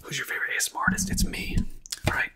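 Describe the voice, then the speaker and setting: Whispering man doing a casual ASMR stream. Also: rapid-fire. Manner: casual whispering, answers viewer questions. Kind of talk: whispered ASMR livestream chat.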